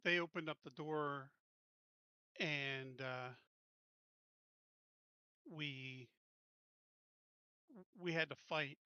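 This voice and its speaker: A man's voice: fairly high pitch, steady tone, extremely slow, long pauses, bright, forceful tone, clear, resonant tone, no echo, highs cut off. A man playing a tabletop roleplaying game, talking casually and hesitantly through what his character does.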